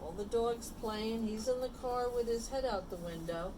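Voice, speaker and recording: A woman reading a picture book aloud to young children, pausing for simple asides and questions about the pictures. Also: on the high side, background noise. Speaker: storybook reader.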